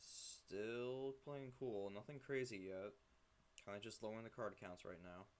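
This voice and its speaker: Low-voiced, monotone young man calling a match. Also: unhurried. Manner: relaxed, hesitant, many fillers. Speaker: play-by-play commentator. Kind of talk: online game commentary.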